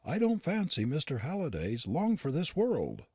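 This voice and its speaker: A mournful old Yorkshire housekeeper woman, pleading in homely dialect, as a male narrator puts her on. The voice is lifted high and a little husky. It swings widely as she talks. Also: huge pitch swings, normal speed, darkish tone, no room sound, studio quality, full character voice.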